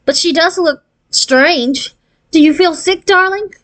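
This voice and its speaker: A woman's voice: very high-pitched, slow, a bit bright, voice pushed up, heavily acted. A woman voicing a caring, concerned mother of a young girl in a children's storybook, speaking solicitously.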